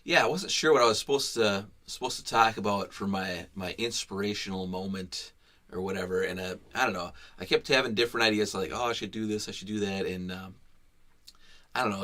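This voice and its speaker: A man's voice: on the low side, a little fast, bright, forceful tone. A man hosting a livestream, talking casually and hesitantly with many fillers and restarts. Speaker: livestream host. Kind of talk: casual unscripted livestream talk.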